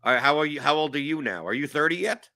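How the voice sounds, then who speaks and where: A man's voice: on the high side, expressive, fast talker, creaky, pushed and bright. A man in his forties from New York, hosting a casual show in a rambling, self-mocking way with lots of restarts. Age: 40s. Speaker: show host. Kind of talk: casual chat.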